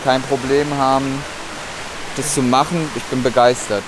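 A woman's voice: a bass voice, steady tone, moderate pace. A woman teaching a swimming class at an indoor pool, giving encouraging instructions. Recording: hiss and splashing water behind.

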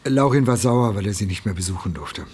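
Actor as middle-aged dad, lowish voice, halting, worried, radio drama, faint music behind. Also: male voice, expressive, a little mellow, lightly acted. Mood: reluctant, uneasy. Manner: halting, reluctant. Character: worried, hesitant divorced father of missing teenage son. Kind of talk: naturalistic modern radio drama acting.

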